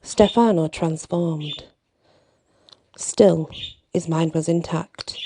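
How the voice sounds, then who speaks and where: Low-voiced woman storyteller, slightly gravelly, unhurried. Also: dark tone, slight background noise. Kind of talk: ASMR bedtime story narration.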